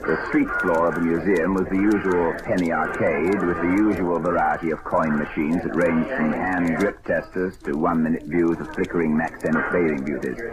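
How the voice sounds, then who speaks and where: An actor playing a cool, wry detective in an old-time radio mystery, speaking in a dry, urbane way. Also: male voice, low voice, fairly even intonation, moderate pace, hardly any pauses, over a noisy crowd, close to an everyday voice. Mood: composed narration. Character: suave wry male detective adventurer, cool and unflappable. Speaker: lead actor and narrator. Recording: noisy old radio recording.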